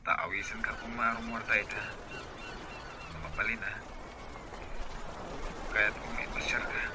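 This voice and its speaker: A man with a northern Philippine accent plays a friendly, low-key young suitor in radio comedy drama, casual and inviting. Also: unhurried, lightly acted. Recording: noisy background.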